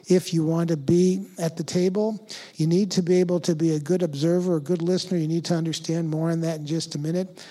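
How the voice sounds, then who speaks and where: An older man with a soft voice giving a conversational conference talk, often clearing his throat and using fillers. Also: a little high. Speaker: speaker.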